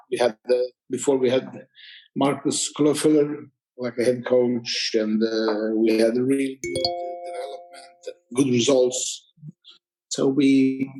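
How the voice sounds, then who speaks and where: A man from Croatia with a Croatian accent, a tired guest coach speaking haltingly on a video call. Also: medium pitch, dark tone, rough recording. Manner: halting, many fillers and restarts. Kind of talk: casual conversation.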